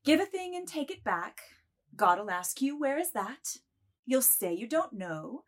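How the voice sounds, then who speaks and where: A woman's voice: fairly high pitch, big pitch swings, a little slow. A woman playing a cheeky, teasing young prostitute in a dramatized literary reading, with a sing-song manner.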